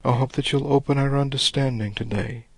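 A man preaching a sermon, reading scripture slowly and deliberately, his voice a little rough and congested. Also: mid-range voice, fairly even intonation, noisy background. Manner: deliberate, measured reading of old-style scripture.

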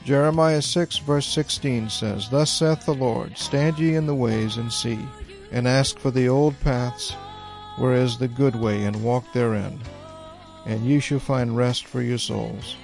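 A man preaching a radio sermon, congested, reading scripture slowly and deliberately. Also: medium pitch, gravelly, a little mellow, soft background music.

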